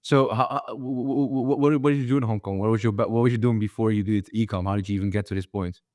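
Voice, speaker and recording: A man's voice: on the low side, steady tone, quick pace, a little mellow, no echo, studio quality. A young man who grew up in the Netherlands, hosting a casual interview podcast, with loose talk full of repeated words and "bro". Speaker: podcast host.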